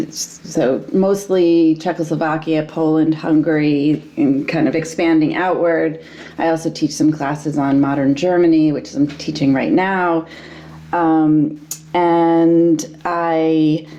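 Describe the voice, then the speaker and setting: Woman in her fifties, low mellow voice, historian guest, thoughtful, frequent ums. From United States. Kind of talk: interview answers.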